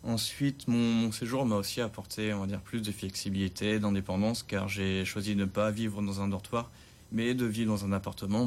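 A young man in his twenties, a student, talking through his reasons at a measured pace and stumbling on a few words. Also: lowish voice, slight background noise. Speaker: student, interviewee. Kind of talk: prepared testimony.

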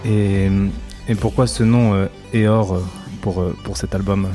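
Male interviewer; low-ish, relaxed tone; searching, stop-start questions. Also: faint music behind. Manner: halting questions with fillers.

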